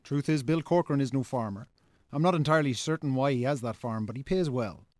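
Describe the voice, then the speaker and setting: An actor playing a reproachful, sensible Irish brother in a naturalistic radio drama, speaking in a colloquial, chiding way. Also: male voice, mid-range voice, quick pace, darkish tone, dry recording, lightly acted. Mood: matter-of-fact.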